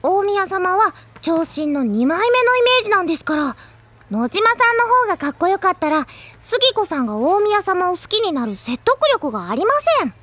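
Chirpy, very high-voiced younger schoolgirl. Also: big pitch swings, moderate pace, fairly bright tone, full character voice. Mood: perky. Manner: cutesy, chirpy, polite junior speech. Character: perky, childlike younger schoolgirl, fawning and teasing. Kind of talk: Japanese audio drama, anime-style acting.

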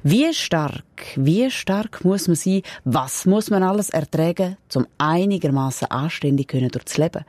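A woman with a Swiss accent presenting on radio, announcing credits and programme details in measured, deliberate phrases. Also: sits low, lively intonation, warm tone, dry recording.

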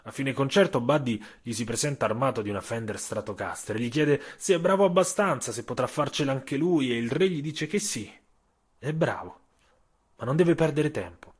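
Husky male dramatic storyteller. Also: medium pitch. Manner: theatrical storytelling with emphatic phrases and deliberate pauses. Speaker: author and narrator. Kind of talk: scripted audio-story narration.

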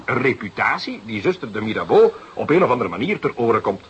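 A man acting an eager junior detective in a radio play. His mid-pitched voice swings widely as he explains with emphatic, slightly grand confidence. There is some noise on the recording. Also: very animated, fast, highs cut off, close to an everyday voice. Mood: confident, theorising.

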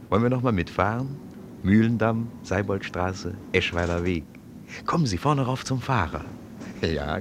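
Radio play guide: lowish, very lively pitch, playful and theatrical, inviting, clear noise behind. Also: male voice. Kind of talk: German radio play narration, addressing listeners.

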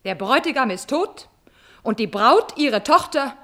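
Scornful, high-voiced betrayed countess. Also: female voice, animated, pushed and bright, exaggerated voice. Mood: cutting, ominous. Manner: theatrical, emphatic, biting irony with drawn-out repetitions. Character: scorned countess, bitter, mocking, passionately vengeful. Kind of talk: classical German stage tragedy as radio drama.

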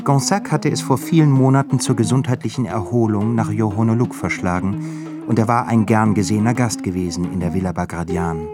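A man performing as a measured, literary narrator in a scripted radio drama. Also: lowish voice, steady tone, close to an everyday voice. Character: measured male narrator of an epic novel. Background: prominent music.

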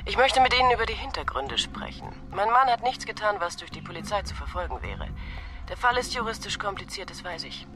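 An actress playing the suspect's composed wife in a radio play, measured and persuasive. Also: female voice, medium pitch, animated, close to an everyday voice. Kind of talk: German radio play acting.

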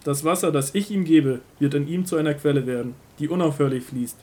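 Man playing authoritative prophet and teacher, fairly high male voice, solemn, measured, emphatic, Bible radio play. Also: darkish tone, natural voice. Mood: earnest, instructive. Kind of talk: scripted audio drama of a Bible story.

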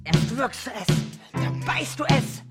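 An actress performing a fierce, defiant chant in a radio play, half sung and half shouted. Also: female voice, high voice, lively intonation, a little slow. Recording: loud music over the voice. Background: loud instrumental music.

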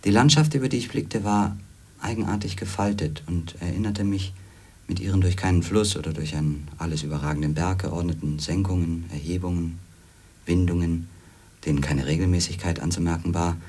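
A brooding former editor in a radio play, with a very low, husky voice. He recounts his wanderings in measured literary sentences, introspective and inward. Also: mellow. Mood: pensive. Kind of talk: literary first-person radio play narration.

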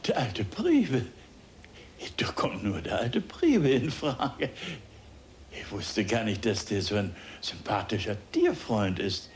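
Casting: proud old-fashioned male shopkeeper, radio drama, formal and fawning, measured pace, very animated melody. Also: mid-range voice, slight background noise. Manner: formal, flowery, obsequious sales talk. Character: courtly, proud male tobacco shopkeeper, eager to please. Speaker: tobacconist. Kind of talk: radio drama acting in a children's mystery.

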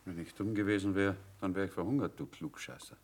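Radio play: doomed young prisoner, deep voice, gruff, clipped, defiant. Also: male voice, quick pace. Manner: curt, gruff. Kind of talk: German radio play acting.

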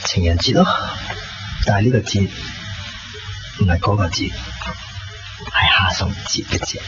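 An actor with a Hong Kong Cantonese accent playing a gentle, devoted young man in love in a 1990s radio drama. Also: fairly low pitch, measured pace, natural voice. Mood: soft, earnest. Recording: dull lo-fi radio recording, loud music.

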